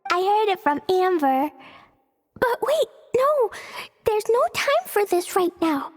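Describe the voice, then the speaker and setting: A woman playing a sweet, innocent, excitable little girl in an anime video game, with an earnest childlike manner. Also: extremely high pitch, takes it slowly, full character voice. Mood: anxious.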